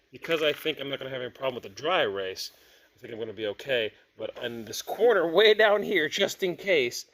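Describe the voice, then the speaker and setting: A man's voice: mid-range voice, fairly bright tone. A man hosting a casual hands-on tabletop gaming product review, talking conversationally and thinking aloud as he goes.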